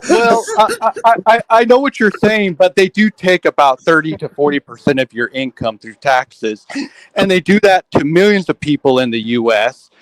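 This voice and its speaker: A man hosting a casual online group chat, talking fast and often repeating his opening words. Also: fairly high pitch, big pitch swings, dry recording, low-quality recording. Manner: quick, repeats starting words, lots of "um" and "like".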